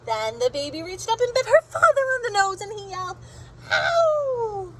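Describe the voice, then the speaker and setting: A woman reading a picture book aloud to children in a very animated way, drawing out words and sound effects and pausing to ask her listeners questions. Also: very high voice, very noisy.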